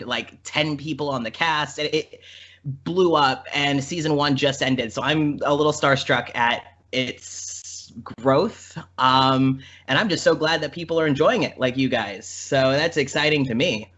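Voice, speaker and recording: A man's voice: medium pitch, bright, forceful tone, muffled recording. A man from Indiana, talking casually and enthusiastically as a podcast guest. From Indiana, American Midwest. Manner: enthusiastic, informal. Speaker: podcast guest, audio drama creator and voice actor. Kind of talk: casual conversational storytelling.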